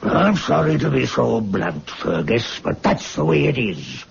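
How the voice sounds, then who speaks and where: Muffled old radio play, domineering professor, very gravelly, deliberate slow delivery, paternal, coldly pragmatic. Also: male voice, mid-range voice, big pitch swings, heavily acted. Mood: stern. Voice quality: creaky, gravelly. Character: domineering senior medical school master, coldly pragmatic. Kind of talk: radio drama acting. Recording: muffled lo-fi radio recording, faint noise.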